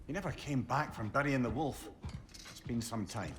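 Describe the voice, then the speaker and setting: A man's voice: medium pitch, moderate pace, slight background noise, close to an everyday voice. An actor playing a talkative, wise severed head who advises in a wry, fatherly way in a video game.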